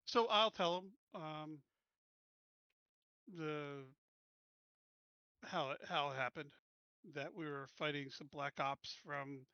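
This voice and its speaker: A man's voice: fairly high pitch, slow, pauses often, bright, forceful tone, smooth, dry recording, muffled recording. A man playing a character at a tabletop game, talking casually and a little hesitantly. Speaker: player.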